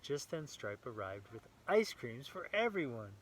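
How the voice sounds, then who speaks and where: Guy reading a kids book out loud, super expressive, chatty asides, bit of background noise. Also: medium pitch, measured pace, poor audio. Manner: animated, enthusiastic. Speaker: children's storyteller and host. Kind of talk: expressive storybook read-aloud with casual asides to children.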